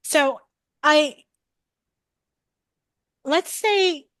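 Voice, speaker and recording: A woman's voice: high-pitched, extremely slow, fairly bright tone, no echo. A woman with a French accent asking a coach a question, hesitant and searching for words. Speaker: coaching participant.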